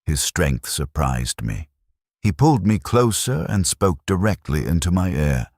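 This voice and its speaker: A man narrating a scripted first-person war story in short, measured sentences with a detached, solemn delivery. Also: sits low, sing-song, a little mellow, no room sound, studio quality.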